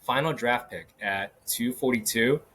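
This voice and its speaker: A man hosting a solo sports podcast, talking casually with many fillers and restarts, his voice a little hoarse. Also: medium pitch, a little slow, a bit bright. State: slightly hoarse. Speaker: podcast host. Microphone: podcast microphone.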